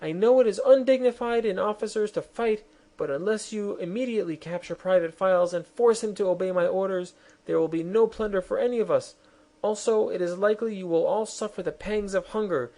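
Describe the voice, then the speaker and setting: Man doing a shrill haughty queen. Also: a little fast, warm tone. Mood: pleading. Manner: shrill and scornful.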